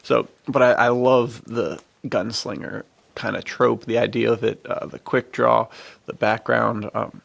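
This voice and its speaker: Guy on a podcast, super fried gravelly voice, mid pitch, dry close sound, slangy chat. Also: fairly even intonation, a little slow, no echo. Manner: informal, slangy. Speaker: podcast co-host, author. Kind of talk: casual chat.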